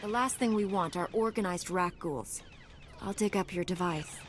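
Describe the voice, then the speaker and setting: A woman playing a resolute, composed Jedi Knight heroine in a video game, calm and dutiful. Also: on the low side, normal speed, a little hiss or hum, lightly acted. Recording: game music underneath.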